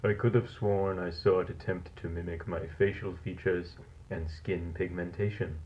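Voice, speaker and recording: Guy doing a cold creepy scientist reading his lab log, real deep voice, muffled old tape sound, analog horror. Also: fairly even intonation, lightly acted. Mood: fascinated. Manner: clinical, measured, formal. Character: cold, fascinated male scientist logging a monstrous experiment. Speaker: narrator of a found-footage audio log. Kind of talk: scripted horror narration, dated lab log. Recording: dull lo-fi tape recording.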